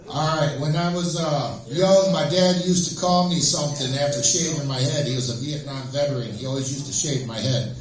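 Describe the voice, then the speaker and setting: A man doing an amateur stand-up set on stage, telling jokes in a halting, rambling way. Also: fairly high pitch. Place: small live comedy venue, stage. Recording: echoey room, distant microphone.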